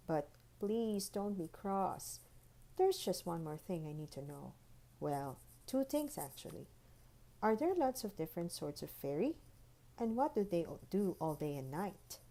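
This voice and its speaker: Curious little girl for a storybook, played by a woman: polite, eager, questioning, mellow and gentle in tone. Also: on the low side, sing-song, a little slow, full character voice. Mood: polite, hesitant. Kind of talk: children's storybook read-aloud.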